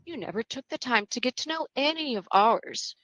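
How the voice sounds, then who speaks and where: A woman playing a mad, taunting young female killer in a horror table read, mocking and drawn-out. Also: sits high, animated, a bit bright, no echo. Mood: smug, menacing. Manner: mocking, drawn-out, punctuated with laughter.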